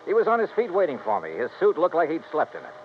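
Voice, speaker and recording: A man's voice: a little high, wildly swooping pitch, quick pace. A male actor playing a wry, cool-headed insurance investigator with an American accent, casual and skeptical in a 1950s radio detective style. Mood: dry, brisk narrating. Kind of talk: 1950s radio detective acting and first-person narration. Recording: muffled, noisy vintage radio recording.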